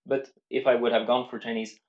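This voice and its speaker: A man's voice: medium pitch, little pitch movement, fairly quick, reverberant, phone-quality sound. A man vlogging about language learning, speaking unscripted to the camera in an earnest way and explaining step by step, with many restarts.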